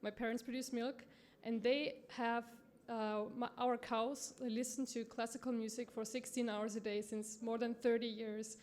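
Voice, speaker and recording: A woman from Austria with an Austrian accent, giving a conference presentation in a halting way with many fillers and self-corrections. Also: a little high, flat delivery, darkish tone. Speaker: conference speaker from a promotional bank.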